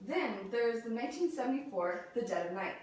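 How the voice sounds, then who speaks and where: A woman's voice: a little high, animated, fairly quick, darkish tone. Echoey, distant young woman giving a speech. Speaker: student speaker giving a persuasive speech. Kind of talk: prepared persuasive classroom speech. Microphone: distant camera or room mic. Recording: very echoey room, distant mic, faint noise.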